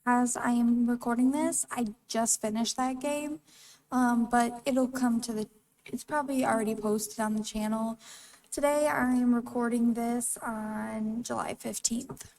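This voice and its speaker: A woman's voice: fairly high pitch, fairly even intonation, unhurried, darkish tone, distant mic, low-quality recording. Young-sounding woman, rambling commentary. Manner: rambling, casual asides. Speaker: gaming channel host. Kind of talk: let's play commentary.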